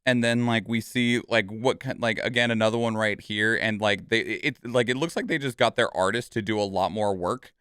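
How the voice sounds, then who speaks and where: Clear-voiced man rambling through casual reaction commentary. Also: fairly low pitch, normal speed, few pauses, a bit bright, no echo, studio quality. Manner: rambling, thinking aloud, conversational. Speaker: streamer and reaction video host.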